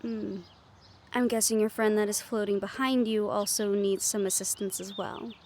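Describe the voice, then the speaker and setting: An actress playing a friendly, brisk woman medium who runs a haunted house, chatty and matter-of-fact in an audio roleplay. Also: mid-range voice, fairly even intonation, a little mellow, natural voice. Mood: knowing, composed.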